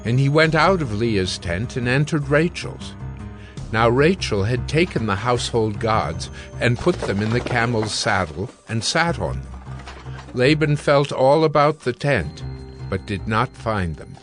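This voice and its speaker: A man gives measured, scripted narration for an audio Bible. His voice sits in a middle pitch, with faint music underneath. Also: expressive. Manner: measured, storytelling.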